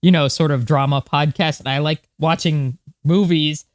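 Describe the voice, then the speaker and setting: A man's voice: on the high side, fairly even intonation, moderate pace, dry recording, clean studio sound. A man co-hosting a podcast in casual, easygoing conversation. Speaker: podcast co-host. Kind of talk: casual chat.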